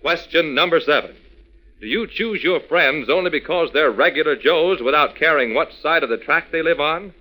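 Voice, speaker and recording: A man announcing on a 1940s children's radio show. His voice is bright and forceful, a little high, and he speaks to young listeners in an emphatic, folksy, scripted way. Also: normal speed, poor audio. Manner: emphatic, folksy direct address.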